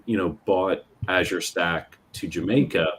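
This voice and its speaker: Deep-voiced Bermudian panel speaker. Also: male voice, fairly bright tone, slight background noise. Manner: measured, with frequent fillers and restarts. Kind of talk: panel discussion.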